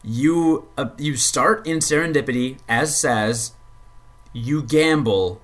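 A man in the middle of the usual pitch range talks through a game in relaxed podcast chat. He rambles and stumbles into repeated words, and swears when something annoys him. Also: slow. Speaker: podcast co-host. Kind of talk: casual gaming chat.

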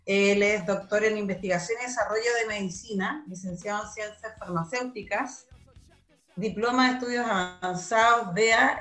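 A woman's voice: fairly high pitch, fairly bright tone. A woman with a Chilean Spanish accent hosting a science interview, upbeat and chatty with many fillers. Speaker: host.

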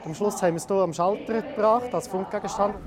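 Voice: quick pace, a crowd in the background. A train conductor with a Swiss German accent talking casually with colleagues and passengers, explaining things in a practical way. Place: aboard a moving passenger train. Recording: clear train noise behind voice. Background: train rumble and vehicle noise.

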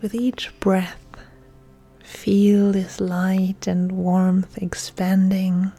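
A woman yoga instructor leading a guided relaxation in a soft, breathy, soothing, hushed voice that often nears a whisper. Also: medium pitch, level tone, extremely slow, faint music behind.

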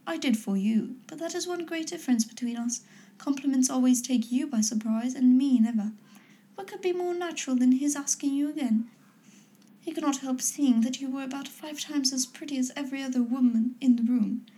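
A woman voicing a lively, playful young woman who speaks in a teasing, witty way. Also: high voice, normal speed, close to an everyday voice.

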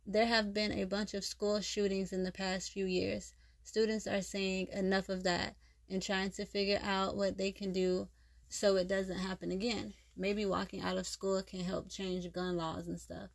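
A woman's voice: medium pitch, little pitch movement, moderate pace, vocal fry, close to an everyday voice. A woman reading the lines of an earnest schoolgirl explaining something to her class.

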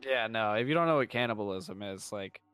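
A man's voice: lowish voice, animated, brisk, a bit bright, clean tone, dry recording, faint music behind. A man co-hosting a podcast, joking crudely, swearing freely and laughing often. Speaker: podcast co-host. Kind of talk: casual podcast chat.

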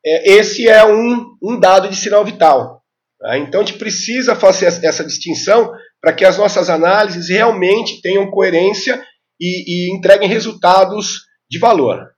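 A man's voice: high voice, moderate pace. A Brazilian man in his fifties explaining things as an interview guest, didactic, often adding short tag questions to check the listener follows. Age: 50s. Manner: didactic, with frequent tag questions seeking agreement. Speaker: interview guest, health informatics specialist. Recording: dull, lo-fi audio.

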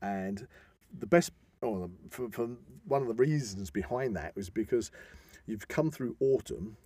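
A man's voice: on the low side, expressive, unhurried, a little mellow, dry recording. A man with an English accent hosting a casual podcast, talking in a discursive, explanatory way. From England. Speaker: podcast host.

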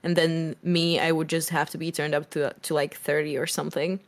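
Loud, low-voiced young woman chatting. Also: flat delivery, a little fast. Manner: loud, strong voice; chatty, rambling with fillers. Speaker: musician guest. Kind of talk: casual interview chat.

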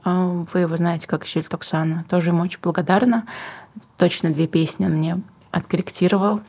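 Low, husky-voiced young woman, reflective. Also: steady tone, a little fast. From Kazakhstan. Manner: thoughtful, searching for words, soft asides. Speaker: guest, singer and book publisher. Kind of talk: reflective interview answers.

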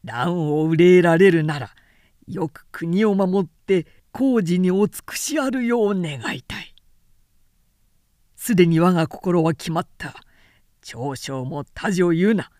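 A woman's voice: lowish voice, slow, a little mellow, dry recording, studio quality. A woman voicing a resolute male warlord of ancient China in a storybook reading, with formal, archaic, authoritative speech. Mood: firm, decisive.

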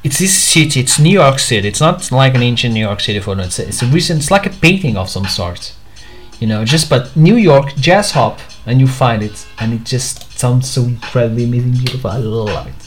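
Man, mid-pitched, halting solo vlog, faint music behind. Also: expressive. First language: Portuguese. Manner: hesitant, many fillers and restarts. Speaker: podcast host.

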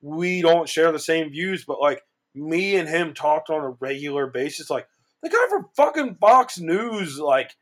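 30 yr old guy on a podcast, kinda high voice, swears, gets hyped. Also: measured pace. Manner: profane, excitable. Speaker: podcast co-host. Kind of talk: casual podcast chat.

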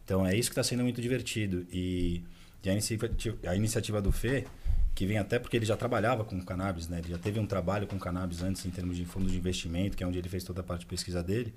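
A man, a sports-focused podcast guest, talking in a relaxed, slangy way. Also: sits low, very fast, slight background noise. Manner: relaxed, slangy, says "cara" often. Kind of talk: casual podcast conversation.

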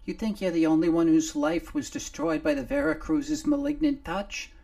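A man voicing a small, scrappy male sidekick who wheedles and pleads in a high, squeaky voice. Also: sing-song, moderate pace, gravelly, dark tone, full character voice. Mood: needling.

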